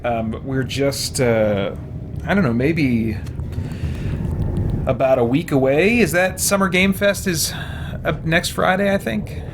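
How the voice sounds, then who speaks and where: A man's voice: a little high, slow pace, pauses often. Rambling man streaming a game, noisy background. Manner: rambling, musing, thinking aloud. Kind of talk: casual livestream chat over gameplay.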